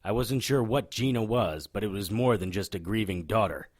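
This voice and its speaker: An actor playing a hard-boiled occult private investigator in a noir audio drama, with a deadpan, wry manner. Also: male voice, on the low side, normal speed, natural voice. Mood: deadpan, brooding. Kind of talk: noir radio drama acting with first-person narration.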